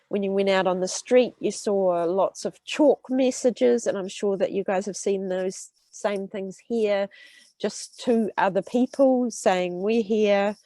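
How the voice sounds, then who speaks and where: A woman's voice: mid-range voice, no room sound. A New Zealand woman in her thirties, an urban health researcher with a cold and a stuffy nose, explaining to students with lots of ums and restarts. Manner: warm explaining with many fillers and restarts. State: congested with a cold. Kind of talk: talk to students.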